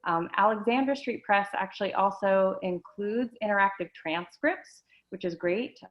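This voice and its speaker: A woman supervisor giving an online presentation, speaking slowly with many ums and uhs. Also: mid-range voice, muffled recording. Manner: slow, halting, many fillers. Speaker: learning center supervisor.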